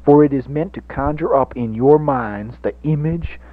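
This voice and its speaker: A man playing a theatrical, pompous evangelist reverend who preaches a parody radio sermon in oratorical swells and hushed drops. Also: mid-range voice, moderate pace, natural voice. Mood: rousing, fervent. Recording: dull lo-fi 1980s radio recording.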